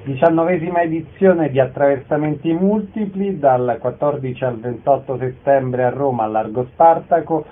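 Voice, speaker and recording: A man's voice: fairly high pitch, narrow, tinny audio. A man hosting a radio interview programme, announcing details and putting questions to guests. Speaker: radio host.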